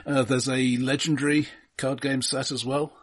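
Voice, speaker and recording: A man co-hosting a film podcast, speaking casually in a measured, matter-of-fact way. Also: mid-range voice, little pitch movement, breathy edge.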